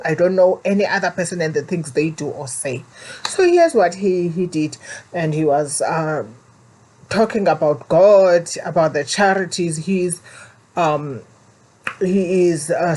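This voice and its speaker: A woman's voice: fairly low pitch, a little mellow. A woman with a South African accent telling viewers her own story and warning them, earnest and preachy, often repeating herself. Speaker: vlogger.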